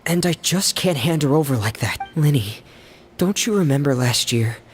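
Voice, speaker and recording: A man voicing a shy, hesitant but quietly stubborn teenage boy, a younger brother, in a video game, soft-spoken and halting. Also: a little high, animated, slight background noise, close to an everyday voice. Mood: earnest, resolute.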